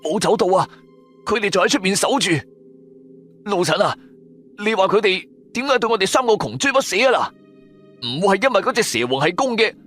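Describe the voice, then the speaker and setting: A man with a Cantonese accent voicing a crude, reckless and excitable male sidekick in an audio drama, whining and cheeky. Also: sits high, brisk, pauses often, soft background music, pitched above the performer's own voice, exaggerated voice. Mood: cheeky, anxious.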